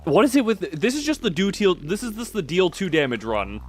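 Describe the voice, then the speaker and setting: Man with a very animated, lively pitch. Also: a little fast, slight background noise. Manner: thinks out loud, often breaking off mid-sentence. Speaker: game streamer. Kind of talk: live gameplay commentary, casual.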